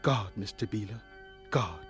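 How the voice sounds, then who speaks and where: An actor playing a gentle, earnest young homesteader with dreams, speaking reflectively in a folksy radio drama manner. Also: male voice, fairly low pitch, a little slow, faint music behind, lightly acted. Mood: wistful, earnest.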